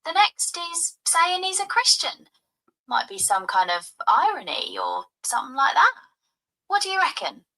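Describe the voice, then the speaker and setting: A woman's voice: high voice, huge pitch swings, normal speed, pushed and bright, low-quality recording. A synthetic woman's voice with a put-on Cockney accent, breezily explaining things to a user. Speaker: voice assistant. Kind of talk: chatty explaining.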